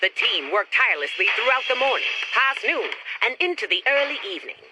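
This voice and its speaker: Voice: mid-range voice, sing-song, a little fast, background noise, lightly acted. A narrator telling a radio drama story in a dramatic, storybook manner.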